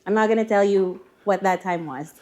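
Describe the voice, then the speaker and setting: A woman's voice: medium pitch, brisk, dark tone, slight background noise. Woman, middle-aged, Filipino accent, chatty, mixes Tagalog and English, podcast guest. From Philippines. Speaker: mortgage broker guest. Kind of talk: casual podcast chat.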